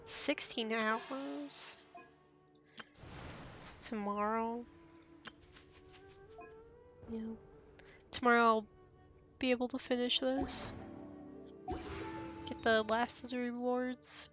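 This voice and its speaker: Slow-paced woman streaming a game. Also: on the high side, steady tone. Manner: absent-minded thinking aloud. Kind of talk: casual gameplay stream chat. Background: game music.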